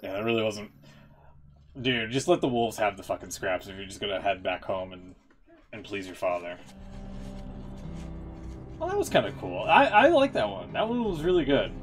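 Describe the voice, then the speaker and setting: A man's voice: on the low side, animated, normal speed, long pauses. A man giving casual, blunt live reaction commentary as a game streamer, in informal language. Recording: music playing behind the voice.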